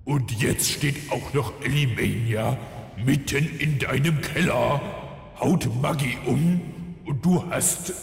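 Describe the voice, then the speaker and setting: A man's voice: mid-range voice, takes it slowly, gravelly. A man playing a weary undead villain lord with dry, condescending sarcasm in a fantasy parody audio drama. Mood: dry mockery. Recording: long echoing reverb, music behind.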